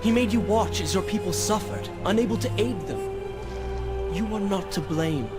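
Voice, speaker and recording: A brooding, driven young prince in a game cutscene. The voice sits high and swings widely, intense and formal, under loud music. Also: male voice, animated, moderate pace. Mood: impatient. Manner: intense, grave, theatrical formal phrasing. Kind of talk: dramatic video game voice acting.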